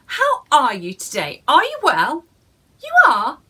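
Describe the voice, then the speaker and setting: A woman's voice: high voice, wildly swooping pitch, slow pace, bright, forceful tone, slightly rough. A woman reading a storybook to young children in a theatrical way, addressing her listeners directly.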